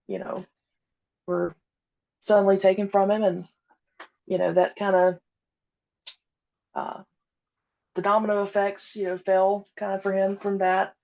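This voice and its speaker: Female, thirties, halting conversational interviewee, medium pitch, muffled low-fidelity sound. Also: creaky, darkish tone. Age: 30s. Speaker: podcast guest, audio drama creator.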